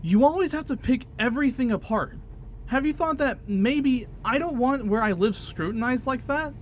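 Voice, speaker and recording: A man's voice: very high voice, lively intonation, moderate pace, slight background noise. A man playing an evasive, defensive young boyfriend in a naturalistic radio drama. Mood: defensive, irritated.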